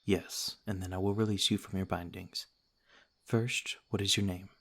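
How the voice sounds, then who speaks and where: Man acting as a low, courteous vampire lord. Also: fairly even intonation. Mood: calm, guarded courtesy. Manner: formal, gentle, measured. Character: courteous, cautious noble male vampire lord. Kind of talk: ASMR roleplay acting.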